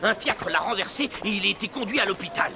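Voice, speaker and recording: Hot-tempered older cartoon grumbler: high for a man, very lively intonation. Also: quick pace. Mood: bitter, wary. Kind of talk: dubbed cartoon acting. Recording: music behind the voice.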